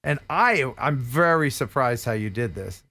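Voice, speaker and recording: A man's voice: mid-range voice, expressive, a little slow, pushed and bright, no echo. A man in his fifties hosting a video call interview, enthusiastic, exclaiming and laughing often, speaking into a headset from a home studio. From United States. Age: 50s. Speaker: host. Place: home studio room indoors.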